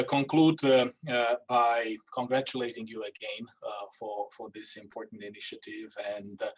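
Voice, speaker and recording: A man serving as an ambassador, giving formal remarks over a video call and halting with "uh" before almost every phrase. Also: on the low side, breathy edge.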